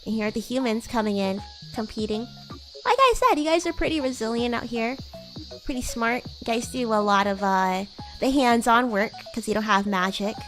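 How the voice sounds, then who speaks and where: Woman playing a high-voiced bratty demon girl. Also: expressive, moderate pace, a bit bright, soft background music, poor audio. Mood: musing. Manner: playful, giggly, rambling, teasing. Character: bratty, teasing young female demon classmate. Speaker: VTuber streamer. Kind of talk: improvised in-character roleplay livestream chat.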